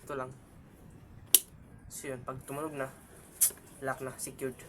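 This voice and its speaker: Filipino man, halting, in a noisy recording. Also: medium pitch, level tone. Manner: halting, mixes Tagalog with English phrases. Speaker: vlogger and product reviewer. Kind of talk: casual unboxing and product demonstration. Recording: clearly noisy amateur vlog recording.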